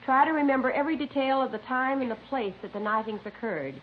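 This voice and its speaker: A woman's voice: high voice, quick pace, a little hiss or hum, close to an everyday voice. An actress playing an orderly, courteous but firm policewoman in an old radio drama, giving composed instructions on a muffled recording. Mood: firm, instructing. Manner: clear instructional delivery, composed. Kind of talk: 1950s radio drama acting.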